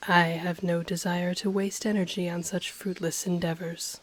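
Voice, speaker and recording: A woman voicing an ancient, powerful dragon in a fantasy audio roleplay, haughty and intrigued, speaking formally in a breathy half-whisper. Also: lowish voice, level tone, slight background noise, lightly acted. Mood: haughty, composed. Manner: slow, formal, deliberate, haughty. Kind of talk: scripted audio roleplay, intimate monologue to listener.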